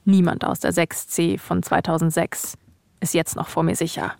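A woman's voice: fairly low pitch, level tone, moderate pace, vocal fry, background noise. A woman, speaking as a podcast host in narration and casual chat.

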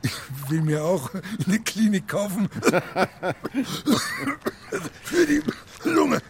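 An actor playing a scruffy, sardonic local man in a radio drama, jeering in a gravelly voice. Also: high voice, very animated, takes it slowly, noisy background, exaggerated voice. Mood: mocking.

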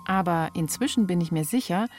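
A woman's voice: fairly low pitch, brisk, darkish tone, soft background music. A woman reporting, reading scripted narration for a radio feature.

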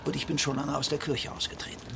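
Wry middle-aged writer, rain behind. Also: male voice, a little high, flat delivery, fast talker. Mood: dry, gentle. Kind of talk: radio drama dialogue. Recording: clear rainy outdoor noise behind.

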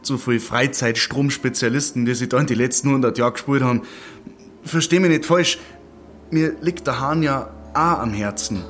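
A man's voice: mid-range voice, normal speed, fairly bright tone, a little hiss or hum, natural voice. A man playing a scheming, patronising village dignitary in an audio drama, hedging and cajoling in Bavarian dialect.

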